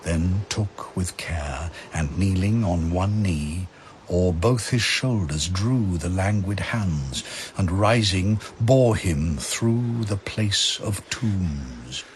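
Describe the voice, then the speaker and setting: A man narrating dramatic verse with grave, measured, theatrical phrasing. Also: sits low, expressive, slight background noise. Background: faint music.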